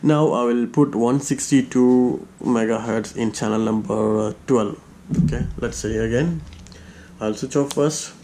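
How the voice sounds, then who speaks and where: A man giving an informal, step-by-step gadget demonstration in a hesitant, halting way, repeating his steps. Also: medium pitch, mellow, a little hiss or hum. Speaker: tutorial presenter.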